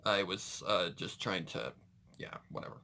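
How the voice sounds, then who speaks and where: A man's voice: low voice, moderate pace, bright, forceful tone, a little hiss or hum. A man hosting a reaction video with casual, rambling, exclamatory commentary.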